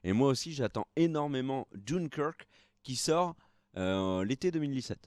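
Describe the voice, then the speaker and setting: A man's voice: mid-range voice, lively intonation, normal speed, a little mellow, dry recording, studio quality. Tired young man rambling with fillers. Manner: rambling, hesitant with many fillers. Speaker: co-host of a film news show. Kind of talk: casual live-stream chat.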